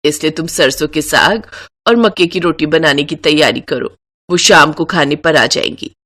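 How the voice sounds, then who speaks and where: Woman acting middle-aged mother-in-law, Punjabi accent, lower pitch, kindly, measured, storybook character. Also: fairly bright tone.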